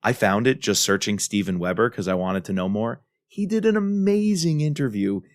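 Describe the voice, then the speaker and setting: Low-voiced man, enthusiastic podcast co-host. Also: animated, normal speed, dry recording, studio quality. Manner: enthusiastic, emphatic. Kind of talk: casual podcast discussion.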